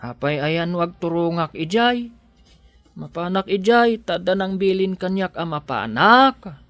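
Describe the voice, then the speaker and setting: Naive, swooping poor odd-job worker. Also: male voice, sits high, expressive, measured pace, fairly bright tone, no room sound, heavily acted. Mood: indignant protesting. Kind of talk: radio drama acting.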